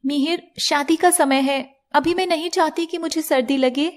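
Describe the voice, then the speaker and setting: A woman voicing a scheming young bride in disguise, dramatic and wheedling, in an animated fairy tale. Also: high voice, moderate pace, pitched above the performer's own voice, natural voice. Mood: evasive. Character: scheming young bride posing as her sister.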